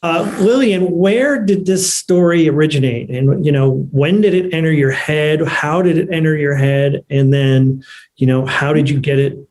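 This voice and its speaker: A man's voice: a little high, moderate pace, a little mellow, poor audio. A man hosting a casual question-and-answer session, wordy and informal, feeling his way through questions. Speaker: host and moderator.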